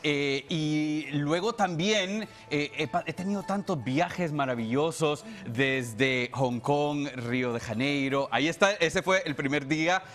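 A man's voice: on the high side, measured pace, few pauses, fairly bright tone. A man in his thirties from El Salvador, a television presenter giving a heartfelt, unscripted farewell in an earnest, grateful way. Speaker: TV presenter saying farewell to the show. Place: television studio. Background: music bed.

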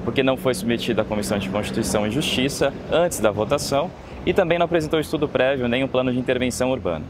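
A man's voice: medium pitch, rapid-fire. A man in his thirties with a Brazilian accent, formally explaining a matter to camera as a lawyer. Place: outdoors on a city street. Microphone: lapel. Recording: loud outdoor street and traffic noise. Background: loud city ambience, vehicles.

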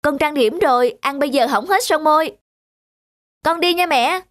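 A woman playing a lively young career woman in an animated drama, with a breezy, casual, hurried manner. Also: high-pitched, dry recording, studio quality. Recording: music under the voice.

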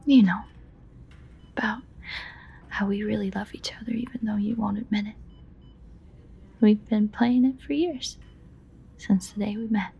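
A woman playing an obsessive, guilt-ridden yandere lover in a whispered audio roleplay, halting, breathy, intimate and pleading. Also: mid-range voice, fairly even intonation, warm tone, full character voice. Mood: obsessive, uneasy. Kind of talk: whispered dramatic audio roleplay addressed to a listener.

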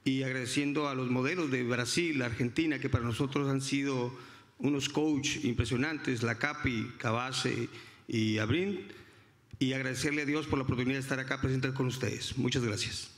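A man's voice: mid-range voice, fairly even intonation, normal speed. A man from Mexico, gratefully introducing his association on a conference stage with a handheld mic. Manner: warm, grateful, repeats himself. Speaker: association representative. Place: large conference hall on stage. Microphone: handheld microphone. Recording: reverberant conference hall.

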